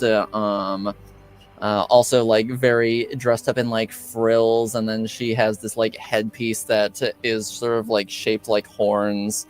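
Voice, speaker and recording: A man improvising narration as a tabletop game master, in halting, hesitant, piecemeal phrases. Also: medium pitch.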